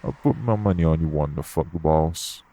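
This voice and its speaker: A man playing a gruff, blunt, unbothered club worker with streetwise slangy talk in an audio roleplay. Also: extremely low pitch, fairly quick, mellow. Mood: casual, teasing. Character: gruff, blunt, unbothered tough guy working at a nightclub. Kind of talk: audio roleplay acting addressed to the listener.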